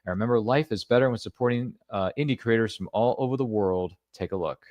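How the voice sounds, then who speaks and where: A man's voice: fairly low pitch, fast, darkish tone, lo-fi sound. A man hosting a podcast, wrapping up the episode in a casual, upbeat and rambling way. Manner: upbeat, rambling, self-deprecating. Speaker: podcast host. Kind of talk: casual show wrap-up and plugs.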